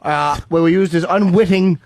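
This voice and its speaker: A man's voice: high-pitched, fairly even intonation, measured pace, no room sound. A man doing casual, crude comedy banter on a radio talk show, brash and profane.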